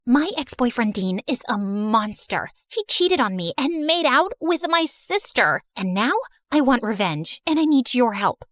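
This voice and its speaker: A woman's voice: fairly high pitch, big pitch swings, a little slow, a bit bright, dry recording, studio quality. A woman voicing a scheming, vengeful popular teenage girl in a cartoon story. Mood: conspiratorial.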